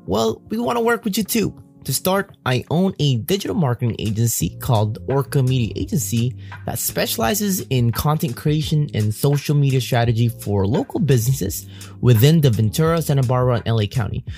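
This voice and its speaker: A man reading a promotional sponsor spot in an upbeat pitch. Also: sing-song, brisk, gravelly, darkish tone, faint music behind. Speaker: ad reader. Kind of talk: read sponsor ad.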